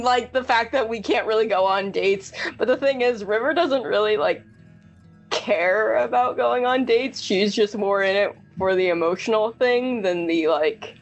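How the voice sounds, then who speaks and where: A woman's voice: fairly high pitch, dull audio. A woman chatting casually as she improvises roleplay, blunt and colloquial, with plenty of filler "like". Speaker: tabletop roleplay player. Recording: music playing under the voice.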